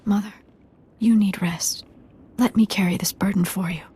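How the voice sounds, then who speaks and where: Husky young village woman, earnest. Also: mid-range voice, steady tone, moderate pace, warm tone. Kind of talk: video game dialogue acting.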